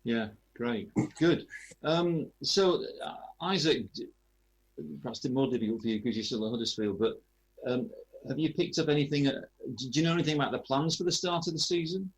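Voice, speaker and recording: Husky-voiced male radio interviewer. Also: mid-range voice, a little fast, rough recording. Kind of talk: chatty sports interview over a call.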